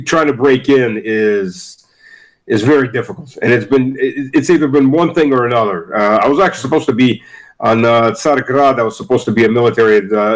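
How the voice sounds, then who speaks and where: A middle-aged man, a former army officer, talking as an interview guest in a halting way with frequent restarts. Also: medium pitch, low-quality recording. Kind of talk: casual interview chat.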